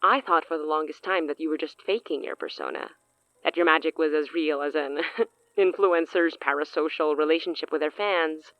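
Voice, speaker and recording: Woman acting a low-voiced, smug villainous sorceress. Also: normal speed, no room sound, dull audio, natural voice. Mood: amused, intrigued. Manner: theatrical, taunting, chuckling condescension. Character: smug, menacing villainous sorceress, amused and theatrical. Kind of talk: audio drama acting.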